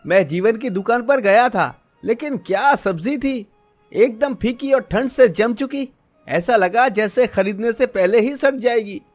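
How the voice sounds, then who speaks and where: A man's voice: very high voice, expressive, unhurried, faint music behind, full character voice. A man playing a fussy, blunt older market customer in a cartoon story, complaining freely. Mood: disgusted.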